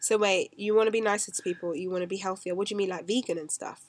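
A woman's voice: medium pitch, steady tone, quick pace, no room sound. Young woman, stuffy nasal voice from a cold, dry close mic, teasing chat. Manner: teasing, laughing, blunt. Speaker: podcast co-host. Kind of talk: casual chat.

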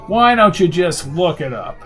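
A combative, easily angered attorney from an audiobook, played by a man. He is curt and indignant, with formal wording and a fairly high voice. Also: sing-song, moderate pace, a bit bright, soft background music. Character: Lincoln, prickly, combative male attorney, quick to anger.

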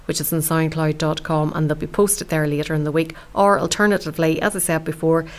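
A woman's voice: sits low, level tone, a little fast. An Irish woman hosting a radio food show, chatting with guests and reading links.

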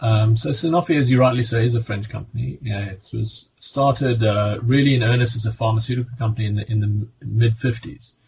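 A South African man in his fifties, a medical director speaking from home over a video call, measured and halting with many restarts. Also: medium pitch, gravelly. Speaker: pharma medical director guest. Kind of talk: remote interview answers. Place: home office in the countryside. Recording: dull lo-fi call audio with faint room noise.

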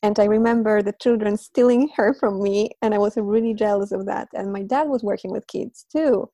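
Woman, native Polish speaker, thirties, level even delivery, interview guest, thinking aloud. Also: mid-range voice, brisk, dry recording. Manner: reflective, thinking aloud with fillers and soft laughs. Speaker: interview guest, language teacher and psychologist. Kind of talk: casual interview answers.

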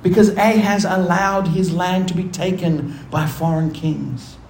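High-voiced male preacher in an echoey room. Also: fairly even intonation, darkish tone, a little hiss or hum. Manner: preaching with rhetorical questions to the congregation; swings between quick asides and slow, low emphatic phrases. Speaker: pastor. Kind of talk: sermon.

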